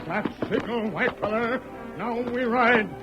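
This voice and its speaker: An actor playing a stoic, loyal sidekick who speaks clipped broken English in an old radio western. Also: male voice, very high-pitched, a little slow, loud music behind the voice. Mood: urgent, resolute. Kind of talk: 1940s radio western acting. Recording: muffled old radio recording, loud background.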